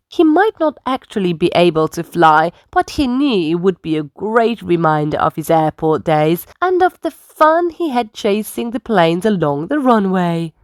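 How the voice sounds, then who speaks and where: Female storyteller for children's picture book: expressive, carefully phrased, very lively intonation, dry close recording. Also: on the low side, no room sound. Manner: careful, animated phrasing for young listeners. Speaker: storyteller reading aloud.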